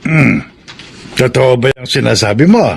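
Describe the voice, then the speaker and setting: An actor playing a calm, protective old grandfather in a radio drama, with a Filipino accent. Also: medium pitch, unhurried, vocal fry, slightly rough, heavily acted. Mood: gently admonishing.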